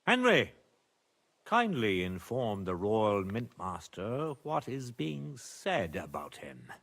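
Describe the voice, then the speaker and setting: A man's voice: lowish voice, very animated, slow pace, gravelly, a bit bright, full character voice. A man playing a commanding, sardonic middle-aged medieval lord and father in a dramatic video game. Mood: indignant.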